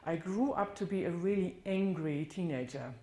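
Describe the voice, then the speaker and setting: A woman's voice: fairly low pitch, normal speed, mellow. A woman from northern Germany with a German accent, giving a candid, reflective talk about her life at a church, correcting herself now and then. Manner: candid, reflective storytelling with occasional self-corrections. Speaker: guest speaker. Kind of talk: personal testimony talk. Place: church hall. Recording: roomy church hall sound.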